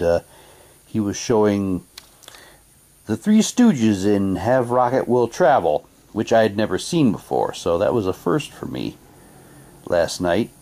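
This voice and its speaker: A man doing a casual unboxing, talking in a halting way and reading packaging text aloud like a hammy radio announcer. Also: on the low side, warm tone. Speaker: vlogger unboxing a collectible audio drama CD.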